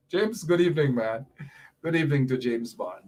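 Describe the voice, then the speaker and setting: A man's voice: mid-range voice, expressive, measured pace, a little mellow. A Guyanese man hosting a radio talk show, delivering fiery, sarcastic political commentary with mocking repetition.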